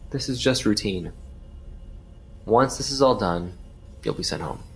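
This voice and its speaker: Low-voiced, measured police detective. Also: male voice, expressive, vocal fry, a bit bright, faint music behind, full character voice. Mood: calm, probing. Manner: measured, formal, persistent. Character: calm, probing male police detective questioning a witness. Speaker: actor in an audio drama. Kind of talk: crime audio drama acting.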